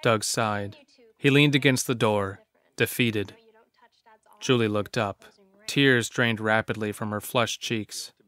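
A man's voice: lowish voice, fairly even intonation, slow pace, a bit bright, no room sound. A man reading scripted narration for a horror story as its narrator. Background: faint music.

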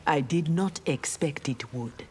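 A woman's voice: low-pitched, a little slow, a little mellow, slightly rough. A woman playing an earnest young ninja warrior in a fighting game, stern, formal and resolute. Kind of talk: fighting game character dialogue. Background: game music.

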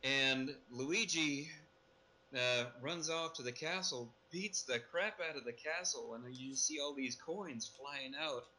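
A man's voice: medium pitch, takes it slowly, sharp tone, rough recording. A man casually discussing and recapping a film as a podcast panelist. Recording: noticeable background noise.

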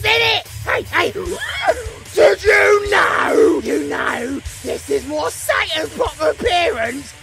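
A British man playing a gleefully unhinged undead vampire-werewolf half-breed, shouting and rambling theatrically in an audio drama. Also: extremely high pitch, creaky, exaggerated voice. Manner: shouting, rambling, cackling, fourth-wall-breaking trivia patter.

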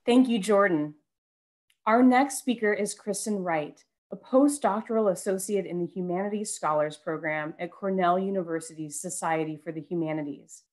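Lowish-voiced woman introducing a speaker. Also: moderate pace, darkish tone. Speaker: panel moderator. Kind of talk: formal scripted speaker introduction. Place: room indoors, on a video call. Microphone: headset with boom mic.

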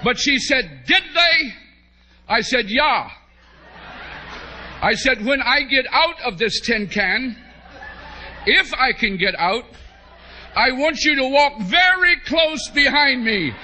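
A middle-aged American man preaching, telling a humorous story to a congregation in an animated way with drawn-out emphasis. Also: very high-pitched, slight background noise, rough recording. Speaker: preacher, bishop. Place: large church hall, at a pulpit before a congregation. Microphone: podium microphone on a stand.

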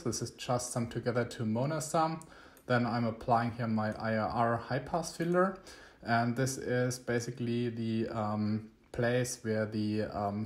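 A young man, not a native English speaker, giving a halting, explanatory screencast tutorial. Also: medium pitch. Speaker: electronics tutorial host.

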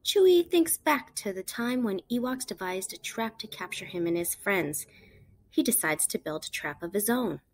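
A woman reading a picture book aloud to children, with animated, emphatic storytelling delivery. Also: mid-range voice, normal speed, slight background noise. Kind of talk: expressive read-aloud narration for children.

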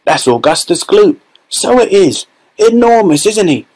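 A man voicing excited onlookers in a crowd, gossiping loudly. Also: sits high, unhurried, pitched above the performer's own voice, exaggerated voice. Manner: shouting, gossipy.

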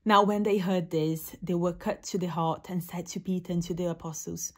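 A woman's voice: fairly low pitch, level tone, normal speed. A young woman reading a Bible story aloud, recorded indoors at arm's length. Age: young adult. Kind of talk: scripture reading. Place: indoor room.

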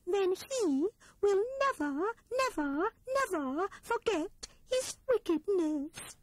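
A woman voicing a timid, excitable female squirrel with a squeaky, trembling voice in a storybook reading. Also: slow, no room sound, heavily acted. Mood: insistent.

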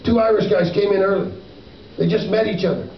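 A middle-aged man on a small club stage telling a joke in rapid deadpan back-and-forth, with an electric guitar behind him. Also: high voice, a little hiss or hum. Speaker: singer-songwriter on stage. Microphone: stage vocal mic, recorded by a far audience camera. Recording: roomy, distant, muffled live club recording.

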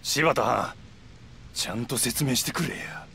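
A man's voice: medium pitch, measured pace, pushed and bright, a little hiss or hum. An actor playing a hot-headed, suspicious young yakuza man in a video game, speaking bluntly with a Kansai accent. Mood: shocked, demanding. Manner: blunt, rough, questioning. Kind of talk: Japanese video game cutscene acting.

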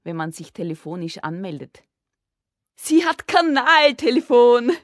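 A woman's voice: fairly low pitch, expressive, unhurried, dry recording, studio quality. A woman voicing an earnest, easily offended little girl in a storybook, plaintive and insistent.

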